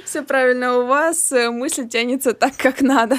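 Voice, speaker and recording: A young woman with a slightly high voice hosts a podcast interview. She asks her questions chattily and laughs often. Her voice sounds close and dry, with little room around it. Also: fairly even intonation, normal speed, dry recording. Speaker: podcast host.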